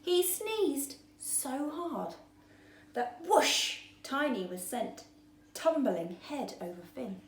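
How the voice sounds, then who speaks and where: Woman reading a kids book aloud, high sing-song voice, slow, echoey room w faint hiss. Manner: slow, expressive storytelling. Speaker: author reading her book. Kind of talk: children's storybook read-aloud. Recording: roomy echo, faint background noise.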